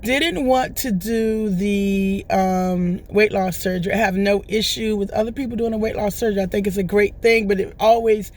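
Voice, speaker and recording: A woman with a hoarse voice that is still recovering, talking casually and at length to her vlog viewers and often asking "right?". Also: medium pitch, steady tone, moderate pace, few pauses, a little mellow, slight background noise. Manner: rambling, chatty, often checks in with "right?". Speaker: vlogger. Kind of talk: casual testimonial chat.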